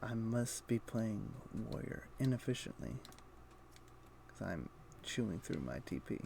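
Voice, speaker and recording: A man streaming a video game, thinking aloud in a low-key, conversational way with casual commentary. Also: very animated, unhurried, creaky, dark tone, a little hiss or hum. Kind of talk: casual live gameplay commentary and chat.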